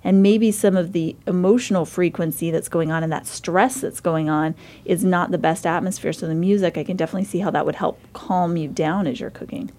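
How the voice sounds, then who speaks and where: A woman's voice: fairly low pitch, brisk, warm tone. A woman hosting a radio interview, upbeat and encouraging with her guests. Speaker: radio show host.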